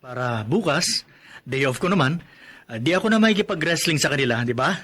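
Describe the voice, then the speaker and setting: A man's voice: on the high side, animated, brisk, a bit bright. An actor playing a protective, worried young husband and expectant father in a radio drama. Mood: firm, protective.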